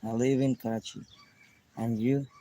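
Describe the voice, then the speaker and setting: A man from Pakistan with a Pakistani accent, chatting in simple, halting English, repeating friendly phrases and greetings. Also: medium pitch, fairly even intonation, warm tone, a little hiss or hum. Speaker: vlogger chatting with strangers. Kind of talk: casual friendly chat.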